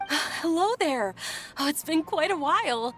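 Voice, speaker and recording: A woman's voice: sits high, animated, takes it slowly, heavy background noise. A woman playing a sweet, insistent young noblewoman in an anime game, speaking politely and earnestly, with a pleading edge. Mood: gracious.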